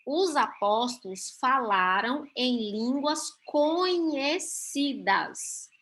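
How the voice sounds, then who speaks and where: A woman's voice: high-pitched, fairly bright tone. A Brazilian woman teaching a Bible class over a video call, explaining step by step and often checking in with her listeners. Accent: Brazilian Portuguese.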